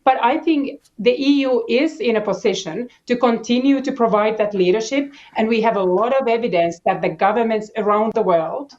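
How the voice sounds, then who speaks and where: A woman panelist speaking in a deliberate, formal way about policy. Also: mid-range voice, darkish tone, muffled recording. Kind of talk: panel discussion.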